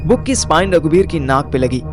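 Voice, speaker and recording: A male narrator tells a scripted audio story. His pitch sits a little high for a man, and music plays underneath the narration. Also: lively intonation, a little fast.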